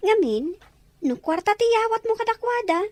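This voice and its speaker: Woman acting as high-voiced practical confidante. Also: sing-song, normal speed, darkish tone, no room sound. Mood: advising. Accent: Ilocano. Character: practical confidante giving household money advice. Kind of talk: Ilocano radio drama acting.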